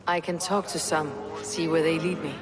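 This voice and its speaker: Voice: mid-range voice, little pitch movement, normal speed, big-room sound, over music, lightly acted. An actor voicing a terse, defiant Norse warrior.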